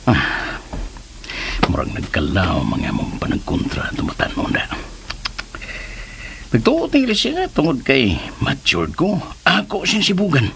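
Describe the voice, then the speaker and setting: A man's voice: medium pitch, wildly swooping pitch, unhurried, gravelly, pauses often, a bit bright, a little hiss or hum, heavily acted. An actor playing a hot-tempered, scheming man in a radio drama, muttering to himself and cursing. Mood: brooding, scheming. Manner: muttering to himself, bursting into curses.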